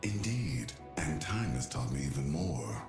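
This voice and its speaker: Casting: a weighty, wise old guardian for a fantasy game, bottomless bass, clear music underneath. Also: male voice, very animated, measured pace, exaggerated voice. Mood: solemn, wise. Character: wise ancient male guardian, solemn, stern. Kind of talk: theatrical fantasy game voice acting. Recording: music behind the voice.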